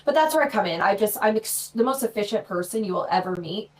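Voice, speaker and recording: This is a woman in her forties talking as a guest on a live show. She is blunt and brash and pokes fun at herself. Her voice sits at an ordinary middle pitch. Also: expressive, fast talker, slight background noise. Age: 40s. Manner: blunt, brash and self-mocking. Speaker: podcast guest. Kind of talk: casual live chat.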